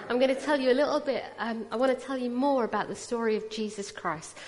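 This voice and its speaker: Woman in her 40s with a British accent and a fairly high voice, giving an interactive church talk into a PA mic in a big echoing hall. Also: a little hiss or hum. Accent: British English. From Punjab, India. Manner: chatty, teasing, draws the audience in. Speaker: guest speaker at a church. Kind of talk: personal testimony sermon. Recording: long reverb of a large hall.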